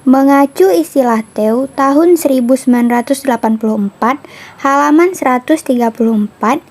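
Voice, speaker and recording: A woman's voice: sits high, darkish tone. A woman, reading a prepared lesson on literary analysis aloud and stopping to read out each citation. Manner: reads citations aloud steadily. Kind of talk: reading a lecture script.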